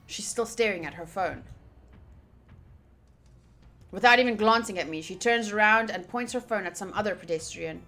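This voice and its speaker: A woman streaming a visual novel playthrough, reading the text aloud with playful, expressive, casual reactions and some swearing. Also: mid-range voice. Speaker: game streamer.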